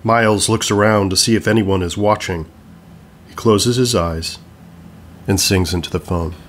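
A man's voice: fairly low pitch, fairly even intonation, moderate pace. A man narrating scripted stage directions for an audio play.

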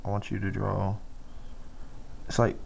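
A man with a fairly low, creaky voice talks someone through a drawing step by step. His delivery is casual and halting, with pauses as he works. It is an amateur recording with faint noise behind him. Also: monotone. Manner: halting, informal, pauses while working. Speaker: drawing tutorial instructor.

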